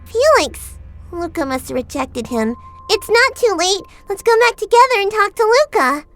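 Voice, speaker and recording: A bubbly, teasing teenage heroine, as a fan dubber plays her, high-pitched and bouncing all over her range. Clear music runs underneath. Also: sing-song, moderate pace, full character voice. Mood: cheerful. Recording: music behind.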